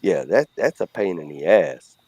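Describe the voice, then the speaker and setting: A man's voice: low-pitched, expressive, moderate pace, darkish tone, highs cut off. A middle-aged man with a Cajun accent, chatting casually as a co-host in a blunt, rambling way. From Louisiana. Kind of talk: casual chat.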